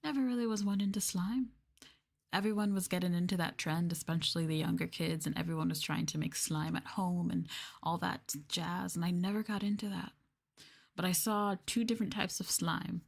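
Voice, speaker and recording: Soft low-voiced woman, husky ASMR. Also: steady tone, normal speed. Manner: soft, intimate, at times dropping to a whisper. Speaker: streamer, VTuber. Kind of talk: soft-spoken ASMR with casual chat.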